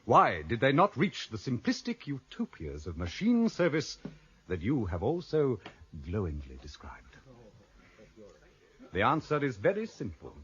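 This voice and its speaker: A man's voice: mid-range voice, huge pitch swings, a little slow, a bit bright, slightly rough, heavily acted. An actor playing an exasperated, sardonic male university lecturer in a radio drama, theatrical and emphatic. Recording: dull, muffled old radio drama recording.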